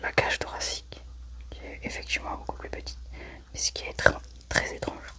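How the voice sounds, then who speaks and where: A woman speaks in a breathy whisper with no voicing. She plays a medical examiner in an ASMR roleplay, methodically talking herself through each step. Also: brisk.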